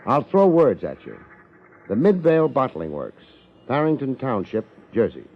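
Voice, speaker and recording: An actor playing a wry, hardboiled American private investigator, wisecracking drily in a 1950s radio detective drama. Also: male voice, mid-range voice, wildly swooping pitch, moderate pace, husky, heavily acted. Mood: brisk, steadying. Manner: wisecracking, dry, sardonic. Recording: muffled 1950s radio recording.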